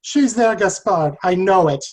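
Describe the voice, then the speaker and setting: A man plays a loyal comrade in arms with a husky voice. He speaks earnestly and consolingly, reading from a stage play. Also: sits high, expressive, measured pace, heavily acted. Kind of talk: virtual table read of a play.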